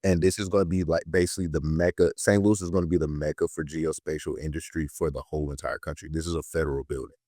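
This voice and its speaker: A man's voice: very deep voice, fairly even intonation, fast, few pauses, darkish tone, dry recording, clean studio sound. A nineteen-year-old man from the United States talking casually as a podcast guest, telling stories in slangy speech with emphatic repetition. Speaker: podcast guest, political educator and content creator. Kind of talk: casual conversational storytelling.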